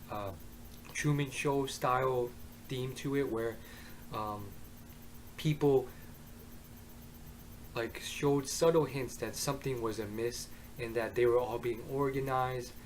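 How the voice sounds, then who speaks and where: Young man, hoarse, casual rambling review, webcam in bedroom, faint background hiss. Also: mid-range voice, poor audio. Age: young adult. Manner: rambling, many ums and uhs. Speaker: anime reviewer. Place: bedroom, indoors. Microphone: webcam at desk.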